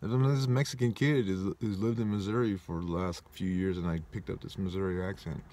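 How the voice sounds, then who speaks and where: A man in his forties from Southern California talks through a personal memory in a low voice. He rambles, stops to correct himself and reflects as he goes, in a casual vlog manner. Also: steady tone, a little fast, dark tone. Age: 40s. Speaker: vlogger.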